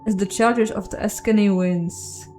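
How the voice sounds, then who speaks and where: A woman's voice: medium pitch, fairly even intonation, unhurried, darkish tone, soft background music, natural voice. Woman as a solemn fantasy game narrator. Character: dramatic game narrator sizing up creatures and dangers.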